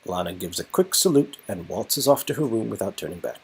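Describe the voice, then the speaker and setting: A man reads a story aloud in a casual, playful way. His voice is slightly rough and husky, and his pitch moves around a lot. He slips easily into colloquial asides. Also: animated, fairly quick. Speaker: amateur reader performing an interactive game's dialogue. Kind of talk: casual dramatic reading with joking asides.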